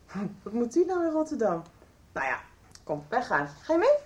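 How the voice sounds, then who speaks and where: An actress playing a breezy, curious teenage daughter in a radio soap, speaking in a casual, brisk way. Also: female voice, fairly high pitch, huge pitch swings, a little mellow. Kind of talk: Dutch radio soap acting.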